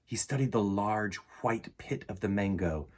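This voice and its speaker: A man in his forties with a slightly husky voice, reading and presenting a children's picture book in an expressive, dramatic way. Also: lowish voice. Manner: animated, dramatic, with deliberate pauses and emphasis. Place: small indoor room in front of bookshelves.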